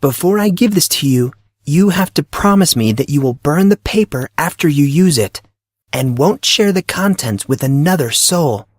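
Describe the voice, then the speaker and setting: A man's voice: a little high, animated, moderate pace, no room sound, clean studio sound, voice pushed up. A man voicing a bossy, teasing teenage girl in an audio drama, speaking in a taunting, dramatic way. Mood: solemn, insistent. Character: brusque, teasing, secretive blonde teenage high school girl. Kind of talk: audio drama character acting.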